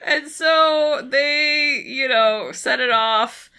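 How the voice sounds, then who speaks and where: A woman co-hosting a podcast, giving a casual, comic recap in an exasperated, animated way and swearing freely. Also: high-pitched, very slow. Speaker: podcast co-host. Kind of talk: casual comedic episode recap.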